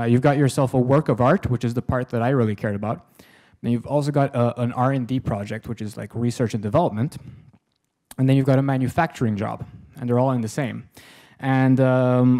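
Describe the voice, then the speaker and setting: A man's voice: medium pitch, dark tone. Joking Swedish-accented man lecturing. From Sweden. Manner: self-deprecating, joking, many fillers. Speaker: conference speaker. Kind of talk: lecture presentation. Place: conference hall with audience. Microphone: podium microphone, far camera.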